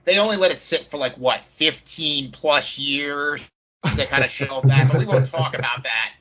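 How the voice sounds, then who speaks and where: A man co-hosting a gaming podcast in a chatty, digressive style, with a husky, forceful voice. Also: medium pitch, moderate pace, highs cut off, a loud crowd. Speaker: podcast co-host. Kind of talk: casual chat about games.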